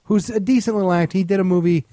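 A man's voice: high voice, quick pace, warm tone, no room sound, clean studio sound. A man co-hosting a comedy podcast in casual banter, blunt, opinionated and prone to swearing. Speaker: podcast co-host and stand-up comedian.

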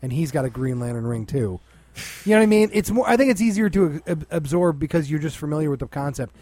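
A man's voice: fairly high pitch, lively intonation, fast talker, mellow, no echo. A man co-hosting a casual movie discussion, blunt and opinionated, with a choppy, sweary delivery. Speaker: podcast co-host and film critic.

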